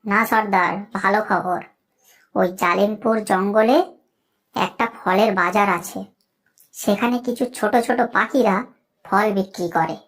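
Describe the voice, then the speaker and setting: A scheming cartoon bird henchman played by a woman, sitting at a medium pitch for a female voice. The delivery is sly and taunting, in the manner of a children's animal tale. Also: fairly even intonation, measured pace, pauses often, a bit bright. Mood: eager. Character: scheming henchman kite bird, reporting to his chief. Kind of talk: Bengali children's cartoon voice acting.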